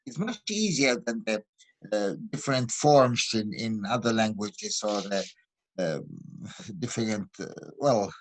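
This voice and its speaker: A man taking part in an online lesson discussion, explaining his points in a deliberate, argumentative way. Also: fairly low pitch, lively intonation, dry recording, rough recording. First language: not Finnish. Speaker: lesson participant. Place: online video call.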